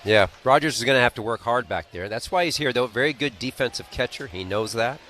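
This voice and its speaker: A man's voice: mid-range voice, animated, brisk, a bit bright. An American man giving color analysis on a baseball radio broadcast in colloquial, punchy short phrases. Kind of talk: unscripted sports commentary. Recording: faint stadium noise on radio broadcast.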